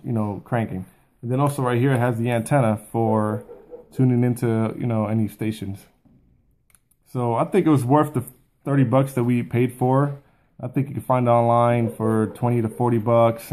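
A man's voice: mid-range voice, a little mellow. Casual man reviewing a product. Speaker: YouTube product reviewer and channel host. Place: small furnished room at home, indoors.

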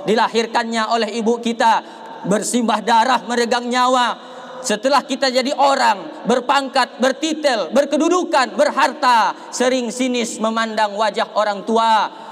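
High-voiced impassioned male preacher. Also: moderate pace, big-room sound. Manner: impassioned preaching, rising to shouts on key lines. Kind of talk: Eid sermon and prayer. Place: outdoor Eid prayer stage at a wooden pulpit.

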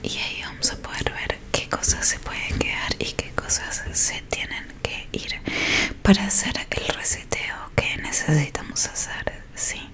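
Slow whispering young woman. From Argentina. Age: young adult. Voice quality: breathy whisper. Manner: soft, soothing, repeats words. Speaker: ASMR creator. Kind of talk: whispered ASMR roleplay as a technician. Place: small dim room indoors. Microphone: close microphone.

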